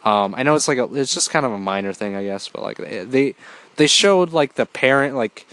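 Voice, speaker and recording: A man's voice: medium pitch, animated, brisk, a bit bright, clear, resonant tone, no echo. A man hosting his own show, talking casually through a movie review while congested from a cold, rambling with lots of fillers and the odd swear. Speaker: podcast host.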